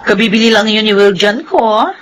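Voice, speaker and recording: A woman's voice: medium pitch, moderate pace, fairly bright tone, slight background noise, lightly acted, low-quality recording. A woman playing a boastful, gushing rich friend. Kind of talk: radio drama acting.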